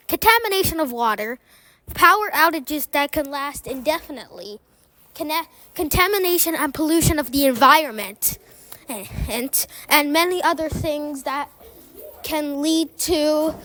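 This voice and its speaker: A woman playing a studio news anchor who reads emergency advice haltingly, stumbling over the script. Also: sits high, pushed and bright, dry recording, rough recording.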